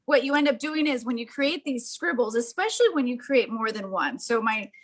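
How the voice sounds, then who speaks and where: Woman, fairly high voice, art demonstrator, chatty and gushing, casual how-to delivery. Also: lively intonation, a little fast. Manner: enthusiastic, gushing. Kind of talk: casual hands-on craft demonstration.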